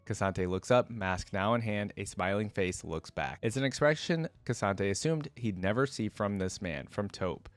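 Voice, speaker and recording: A man's voice: fairly low pitch, moderate pace, few pauses, dry recording. A man who creates reaction videos, reading a story aloud expressively and dramatically, with casual asides.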